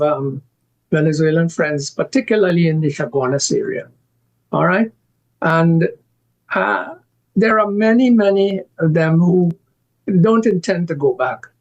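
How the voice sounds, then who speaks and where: An older man with a Trinidadian accent, a guest analyst who speaks haltingly with many restarts and strong emphasis. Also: on the high side, muffled recording. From Trinidad and Tobago. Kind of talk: current affairs discussion.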